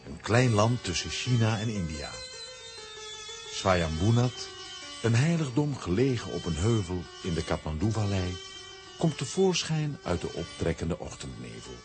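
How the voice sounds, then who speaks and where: A man with a rough, husky voice narrating a scripted children's story. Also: sits low, sing-song, unhurried, over music.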